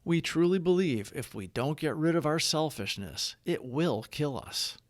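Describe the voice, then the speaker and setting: A man reads scripted reflections in a medium-pitched voice that moves with lively intonation. His phrasing is measured and deliberate, broken into short clauses. The sound is close and dry, as in a read-along narration. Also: dry recording. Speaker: narrator of daily recovery readings.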